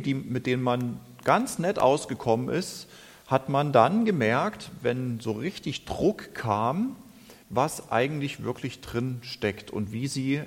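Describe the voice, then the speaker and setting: A man from Germany preaching a sermon in a slow, deliberate and thoughtful way. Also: medium pitch, husky. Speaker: lay preacher. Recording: reverberant hall.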